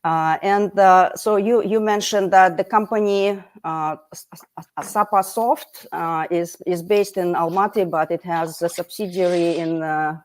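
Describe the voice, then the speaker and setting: A woman's voice: lowish voice, steady tone, measured pace. Chatty woman hosting an online interview. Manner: warm, chatty, many fillers and restarts. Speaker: host.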